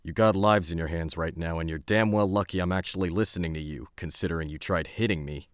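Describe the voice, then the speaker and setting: Clay, a man playing a gruff blunt fighter. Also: deep voice, fairly even intonation, fast talker, no room sound, studio quality, natural voice. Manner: blunt, bossy, scolding.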